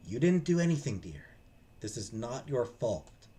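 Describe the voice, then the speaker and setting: A man as a soft-spoken, protective gentleman. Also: mid-range voice, sing-song, a little slow. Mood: gentle, reassuring. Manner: soft, coaxing, reassuring. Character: gentle, devoted, protective man comforting a loved one. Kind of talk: visual novel character read-aloud.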